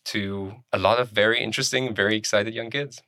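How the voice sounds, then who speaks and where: This is a man in his thirties who comes from Germany. His voice sits fairly low but has a very bright, forward edge. It is recorded dry, with hardly any room around it. He chats casually, as a podcast co-host answering questions about himself. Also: steady tone, a little fast, dry recording. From Germany, born in Poland. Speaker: podcast co-host being introduced. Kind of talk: casual conversational interview.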